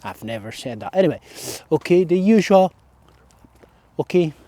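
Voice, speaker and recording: A man's voice: on the high side, very animated, moderate pace, mellow, no echo. A man with an Italian accent, a native Italian speaker, talking casually to his followers in a solo vlog, hesitant, with many fillers, often checking in with "okay?". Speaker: vlogger.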